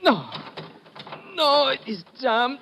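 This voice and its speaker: An actor playing a rattled, polite Greek cab driver in an old radio drama, speaking stilted, formal English. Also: male voice, extremely high pitch, sing-song, slow pace, full character voice. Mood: panicked. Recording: noisy vintage radio recording.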